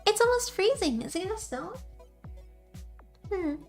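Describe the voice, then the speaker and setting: Young woman, high and soft-voiced, rambling and giggly, casual stream chat over faint music. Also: very animated, unhurried. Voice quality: soft, quiet voice.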